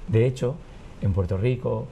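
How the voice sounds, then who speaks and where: A man in his fifties, born in Cuba, chatting as an interview guest in a wood-panelled studio, telling family anecdotes with lots of restarts and lively acted quotes. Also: mid-range voice, moderate pace, highs cut off, slight background noise. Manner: animated, gestures with hands, many restarts and acted quotes. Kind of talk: relaxed conversational storytelling. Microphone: lapel mic.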